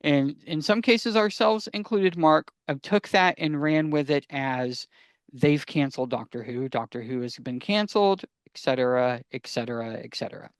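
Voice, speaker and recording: A man's voice: on the high side, normal speed, clean tone, no echo. A man co-presenting a podcast in casual explanatory chat, wry and rambling, repeating words for emphasis.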